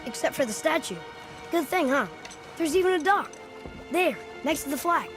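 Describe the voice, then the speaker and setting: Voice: high voice, expressive, normal speed, over music. An actor voicing a curious, eager young boy in a video game, talkative and observant. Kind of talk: naturalistic video game acting.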